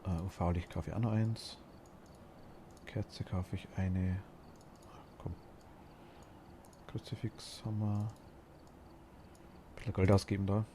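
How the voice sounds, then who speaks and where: A man's voice: deep voice, steady tone, slow, pauses often, background noise. A man with a Bavarian accent chatting casually while streaming a game, rambling and murmuring to himself as he goes. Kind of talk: casual gaming chat.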